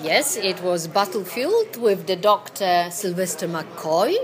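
A woman with a Polish accent, an actress chatting as a guest in a casual pub interview, animated and quick to laugh. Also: on the low side. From Poland. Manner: animated, laughs often, acts out quotes. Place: busy pub. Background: crowd chatter.

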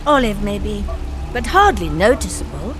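A woman's voice: mid-range voice, big pitch swings, normal speed, over music, lightly acted. An actress playing a spirited, impatient young woman companion who answers back with teasing retorts in an audio drama.

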